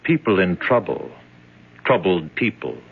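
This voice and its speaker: A man's voice: deep voice, very animated, a little slow, creaky, slight background noise, exaggerated voice. Cryptic, courteous man, tinny old radio. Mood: courteous, evasive. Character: evasive, courteous male recruiter for troubled people. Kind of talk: 1970s radio drama acting. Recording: tinny narrow-band old radio broadcast.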